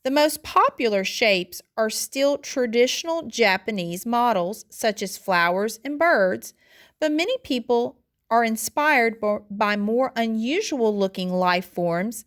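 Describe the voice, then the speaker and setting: A woman with a fairly high voice reads aloud in the style of a classroom lesson. Her delivery is instructional and deliberate, phrase by phrase, as a teacher guiding students along a text. She occasionally trips on a word and corrects it. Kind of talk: read-aloud lesson narration.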